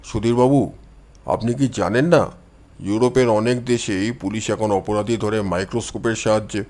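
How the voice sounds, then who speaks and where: Give the detective , as acted by a male storyteller, a low, deep register. He is calm and confident, laying out his reasoning in measured phrases with quiet self-assurance, as in an audio mystery story. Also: natural voice. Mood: calm, assured.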